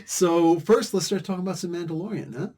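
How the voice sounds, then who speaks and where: A man's voice: high-pitched, a little fast, slightly rough. Podcast host, man, New Jersey accent, loose banter with frequent laughs. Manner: laughs often, animated banter. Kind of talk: casual chat.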